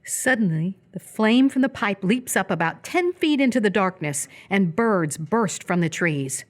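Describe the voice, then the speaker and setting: A woman's voice: medium pitch, expressive, a little slow. A woman narrating scripted stage action for a live radio theatre piece.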